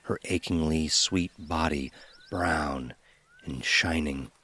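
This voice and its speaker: A man narrating a scripted dark audio story. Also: very deep voice, slow pace.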